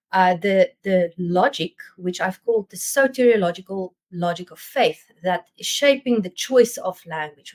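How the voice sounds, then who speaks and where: This is a woman with a South African Afrikaans accent whose first language is Afrikaans, delivering an academic seminar talk on theology. Her voice sits at a middling pitch and she comes across as thoughtful, often pausing mid-phrase as she searches for words. The talk is heard through a video call. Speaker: theology lecturer.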